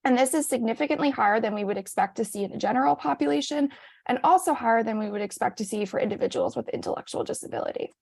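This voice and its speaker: A woman researcher giving a measured presentation at a virtual conference. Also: on the high side, vocal fry, a little mellow, no echo, studio quality. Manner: measured, reads prepared points.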